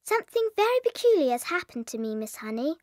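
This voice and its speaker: A woman's voice: sits high, sing-song, fast, a little mellow, no room sound, studio quality. An actress playing a polite, earnest little schoolgirl with an English accent in a dramatized children's audiobook. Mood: earnest, anxious.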